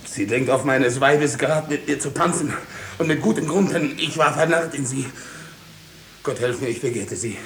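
An actor playing a passionate, guilt-ridden husband and farmer in a theatrical 1950s German radio drama. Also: male voice, mid-range voice, normal speed, close to an everyday voice. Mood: impassioned. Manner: impassioned, theatrical. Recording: echoey, faintly hissy 1950s radio recording.

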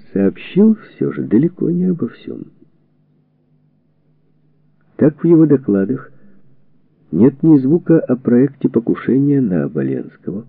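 A man reading a historical book as a scripted narration, in measured, deliberate phrases. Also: sits low, no room sound. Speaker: audiobook narrator. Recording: narrow phone-like band, radio-like sound.

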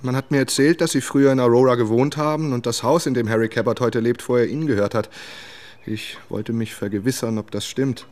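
An actor playing a curious young writer in a radio drama, narrating and asking questions politely and a little eagerly. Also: male voice, medium pitch, fairly even intonation, fairly quick, darkish tone, natural voice. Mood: probing. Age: young adult. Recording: music behind the voice.

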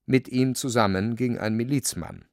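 A man's voice: mid-range voice, moderate pace, dry recording, studio quality. A man narrating a literary radio play from a script.

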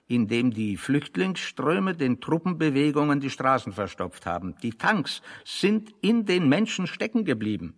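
A man acting in a German radio play as a pedantic, ironic intellectual, speaking deliberately and mock-seriously. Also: mid-range voice, in a large hall, close to an everyday voice.

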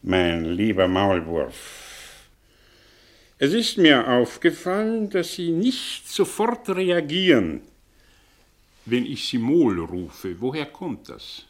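Shady suspicious teacher in a radio drama, super animated, drags his words out, probing. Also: mid-range voice. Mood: teasing, probing. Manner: theatrical, probing, drawn-out phrasing with sudden swings. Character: suspicious, sly English tutor, a man living under an alias. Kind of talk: German radio play acting.

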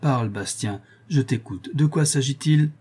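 A man playing an authoritative naval lieutenant in a storybook, formal and measured as he addresses his crew. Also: medium pitch, warm tone, breathy edge, voice pushed up, lightly acted. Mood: severe. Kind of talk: acted audiobook character dialogue.

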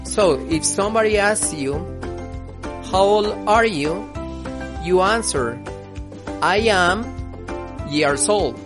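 A woman teaching English on a school radio program, speaking slowly and carefully as she repeats example phrases. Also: mid-range voice, lively intonation, over music. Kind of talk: slow scripted radio lesson.

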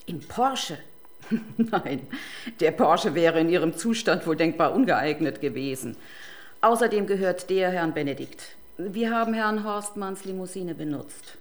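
Eine Schauspielerin spielt in einem Krimihörspiel eine diskrete, bestimmte Privatärztin, förmlich, beherrscht und auf der Hut. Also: female voice, on the low side, expressive. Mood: guarded. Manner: formal, measured, guarded. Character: discreet, firm female private doctor shielding her patient. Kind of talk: crime radio drama acting.

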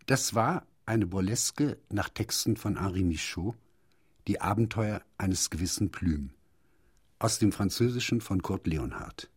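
A man's voice: fairly low pitch, lively intonation, a little slow, husky. A man reading a scripted credits announcement as a radio announcer.

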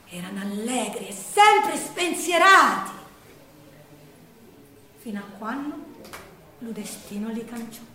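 A woman's voice: high voice, fairly bright tone. A woman with a Sicilian accent performing dramatic theatrical storytelling in verse, declamatory and lamenting. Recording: echoey room, distant from the mic.

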